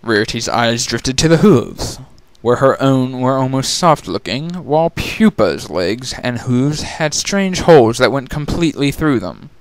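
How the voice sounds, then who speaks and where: A narrator performs a dramatic story reading in a mid-range voice. The delivery moves expressively in pitch, and the sound is close and dry. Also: lively intonation, a little slow, few pauses, no room sound.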